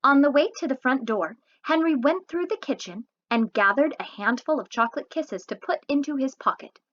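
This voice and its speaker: A woman reads a children's story with lots of expression. Her voice sits a little high and swings widely in pitch. She is animated, like a storyteller. The recording sounds a bit dull and lo-fi. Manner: animated, pauses to ask listeners questions. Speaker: read-aloud host, storyteller.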